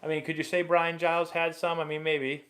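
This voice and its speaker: A young man hosting a live baseball stream, chatting casually and rambling excitably as he answers viewer questions. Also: on the high side, fairly quick. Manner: rambling and excitable, many fillers and restarts.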